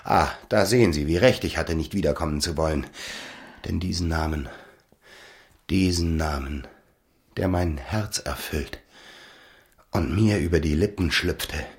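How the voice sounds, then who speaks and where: An actor playing a calculating, ardent seducer in a radio drama, wooing with theatrical pauses. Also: male voice, a bass voice.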